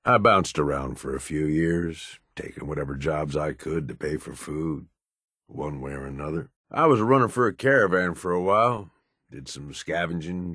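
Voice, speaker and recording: Deep-voiced, cynical American raider. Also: male voice, a bit bright, no echo, clean studio sound, exaggerated voice. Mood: reflective. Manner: foul-mouthed, sardonic, streetwise drawl. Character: cynical, gruff middle-aged male post-apocalyptic raider. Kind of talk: video game character dialogue.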